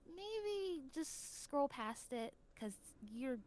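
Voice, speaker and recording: A woman's voice: sits high, big pitch swings, very slow, a little mellow. Woman podcast guest talking casually, earnest and thoughtful, bit of noise behind her. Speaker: podcast guest, new voice actor. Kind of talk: casual interview chat.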